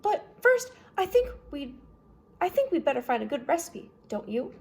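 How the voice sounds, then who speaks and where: Woman acting a high-voiced, gracious lady judge from a storybook. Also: moderate pace, gravelly, slight background noise, exaggerated voice. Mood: delighted. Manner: cheerful, polite, expressive. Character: kindly, gracious, bookish woman judge and neighbour. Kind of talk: storybook character voice.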